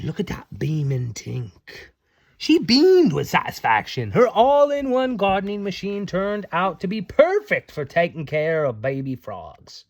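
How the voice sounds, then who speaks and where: Animated dad reading a storybook. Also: male voice, on the high side, no echo. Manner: playful, folksy. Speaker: dad reading aloud to his children.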